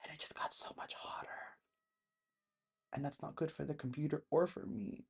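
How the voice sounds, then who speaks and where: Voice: mid-range voice, fairly even intonation, moderate pace, gravelly, breathy edge, in a whisper. A person in their thirties chatting casually with live-stream viewers from home in the countryside, rambling and laughing often. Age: 30s.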